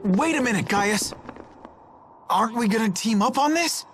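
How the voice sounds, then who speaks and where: A woman's voice: on the high side, expressive, moderate pace, close to an everyday voice. A woman voicing an earnest youth in a video game, informal and questioning. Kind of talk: English video game cutscene voice acting. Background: music.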